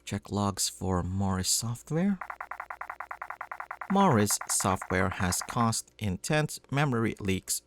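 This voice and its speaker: A man's voice: on the low side, sing-song, takes it slowly, noisy background. A man streaming a game and reading its on-screen text aloud as scripted narration. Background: background music.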